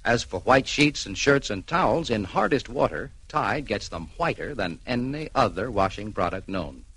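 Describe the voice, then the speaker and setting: A man's voice: fairly low pitch, lively intonation, normal speed, a bit bright, husky. A man announcing a vintage radio commercial with emphatic, punchy phrasing. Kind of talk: vintage 1940s-50s radio advertising pitch.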